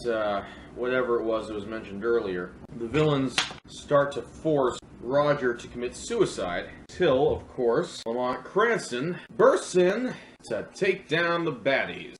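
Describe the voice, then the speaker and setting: A male film reviewer with a mid-range voice that swings widely in pitch. He delivers a scripted comic recap with sarcasm and mock drama. The room echoes around him and music plays underneath. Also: fairly bright tone. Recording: roomy echo with music underneath.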